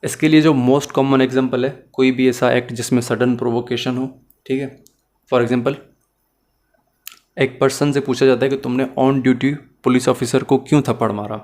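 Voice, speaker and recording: A man's voice: mid-range voice, flat delivery, normal speed, a little mellow. A man teaching a law lecture, explaining each point step by step and mixing English legal terms into his Hindi.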